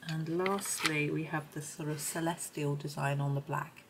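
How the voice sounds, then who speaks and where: A middle-aged woman with a slightly croaky voice, chatting casually and thinking aloud as she demonstrates something on camera. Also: low-pitched, steady tone, moderate pace, noisy background. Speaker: host of a colouring supplies review. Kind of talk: casual chat while demonstrating.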